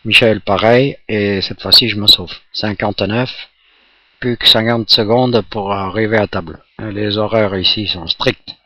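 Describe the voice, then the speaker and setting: A low-pitched man talks casually over an amateur radio contact. The sound is slightly muffled and roomy, as recorded in an echoing space. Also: steady tone, measured pace, bright, forceful tone. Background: electric fan blowing nearby.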